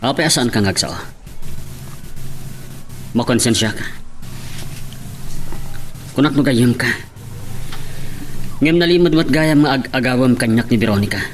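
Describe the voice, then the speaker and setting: A man's voice: mid-range voice, unhurried, long pauses, slight background noise, lightly acted. A man playing a jealous, brooding young drinker in love in an Ilocano radio drama, with an Ilocano accent. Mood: jealous, accusing. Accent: Ilocano, northern Philippines.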